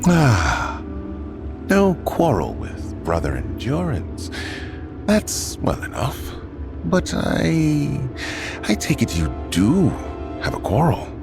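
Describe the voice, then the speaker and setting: A man's voice: medium pitch, wildly swooping pitch, takes it slowly, vocal fry, over music, heavily acted. A man playing a sly, theatrical god of curiosity who needles others in a fantasy audio drama. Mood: sly, probing. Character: sly male god of curiosity, weathered sailor look.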